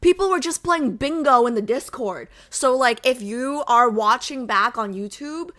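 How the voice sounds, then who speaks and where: A woman's voice: on the high side, animated, a little slow, fairly bright tone. A young adult woman streamer chatting casually with her viewers, bubbly and full of fillers. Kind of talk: casual chat with live chat.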